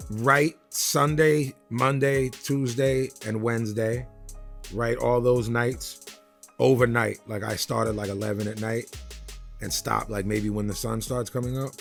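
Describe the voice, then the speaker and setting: Gravelly man speaking candidly, haltingly. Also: mid-range voice, fairly even intonation, faint music behind. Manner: halting, thinking aloud, blunt. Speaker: recording artist. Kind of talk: candid interview talk.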